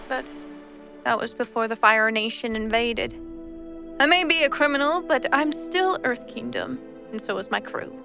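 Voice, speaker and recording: A woman's voice: a little high, gravelly. A woman voicing a shrewd but kind older female pirate captain, speaking in a wry, confident, teasing way, in a cartoon dub. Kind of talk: fan comic dub acting.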